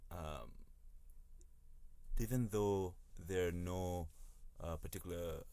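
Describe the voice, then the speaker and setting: Deep-voiced, hesitant young man. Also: slow pace, mellow, dry recording. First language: Swahili. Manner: hesitant, many ums and restarts. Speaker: podcast guest. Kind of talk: casual podcast conversation.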